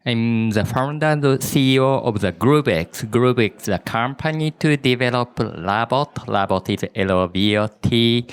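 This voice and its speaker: Man, startup founder on a panel, low voice, very slow and deliberate. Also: clear, resonant tone. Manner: measured and deliberate, with pauses. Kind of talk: self-introduction on a conference panel. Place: stage at a conference event.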